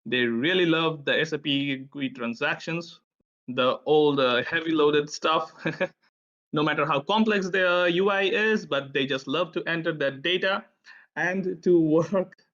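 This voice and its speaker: A man's voice: a little high, a little slow, fairly bright tone. A man from India, presenting a tech session in a friendly, chatty way with frequent filler sounds. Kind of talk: conference presentation. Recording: dull, lo-fi online audio.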